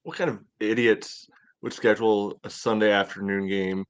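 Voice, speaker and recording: A man with a deep, low voice co-hosts a sports podcast. He trades casual banter with a dry, sarcastic delivery. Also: fairly even intonation, moderate pace, smooth. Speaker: podcast co-host.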